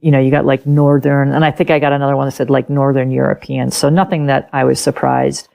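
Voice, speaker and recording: A woman's voice: a bass voice, fast, creaky. A middle-aged woman whose first language is German, a guest telling her own story candidly and animatedly in an interview. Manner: candid, animated, acts out past conversations and laughs often. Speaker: author and podcast guest.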